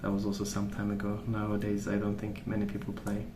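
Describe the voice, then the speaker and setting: A soft-spoken man rambling in an ASMR style, with a hesitant, meandering and unhurried way of recollecting. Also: on the low side, monotone. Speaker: ASMR video host. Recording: roomy, distant mic, noisy background. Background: pencil colouring sounds, background noise.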